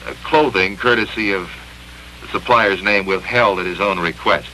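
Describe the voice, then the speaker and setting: A man narrating a 1960s industrial training film in an emphatic, clearly enunciated instructional manner, from an old film soundtrack. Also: deep voice, normal speed, bright, forceful tone, a little hiss or hum, low-quality recording.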